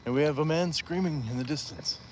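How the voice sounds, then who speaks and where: A man's voice: on the high side, brisk, darkish tone, a little hiss or hum, rough recording. A man hosting a live stream while walking outdoors, chatting casually and reading viewer comments aloud with playful asides. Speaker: livestream host.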